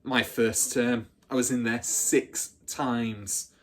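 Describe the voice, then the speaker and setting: Man acting a husky, scornful schoolgirl bully. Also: medium pitch, flat delivery, takes it slowly. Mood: boastful. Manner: scornful, relishing grisly details. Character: rugged ten-year-old bully girl, scornful, boastful, dramatic.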